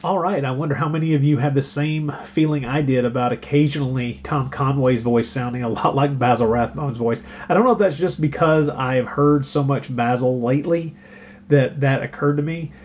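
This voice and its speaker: A man's voice: medium pitch, normal speed, a little mellow. A man hosting a podcast, chatting in a musing, conversational way. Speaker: podcast host. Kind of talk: casual chat commentary. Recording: faint background hum.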